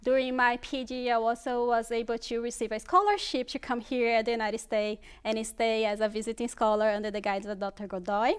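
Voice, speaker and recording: Casting: thirties woman, Brazilian accent, second-language English, smooth and slightly high, careful and earnest. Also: steady tone, brisk, hardly any pauses. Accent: Brazilian Portuguese. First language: Portuguese. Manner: earnest, explains carefully, laughs easily. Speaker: guest researcher in pet nutrition. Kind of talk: studio interview.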